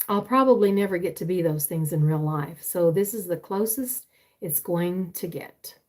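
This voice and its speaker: A woman's voice: lowish voice, normal speed, a little mellow. Older woman, a writer reading her stories and chatting live, meandering and hesitant, recorded on a tablet. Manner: rambling, hesitant, many fillers and restarts. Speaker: author and live streamer. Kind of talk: reading own writing and casual live chat. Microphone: tablet used for live streaming.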